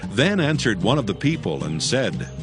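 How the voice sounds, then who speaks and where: A man narrating a dramatized Bible in a solemn, measured, scripted style. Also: mid-range voice, sing-song. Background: music.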